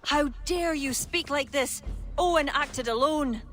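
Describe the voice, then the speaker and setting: Defiant game poetess, high voice, proud, forceful, indignant. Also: female voice, a little slow, faint music behind. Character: proud, defiant poetess defending her people at court. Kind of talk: dramatic video game acting.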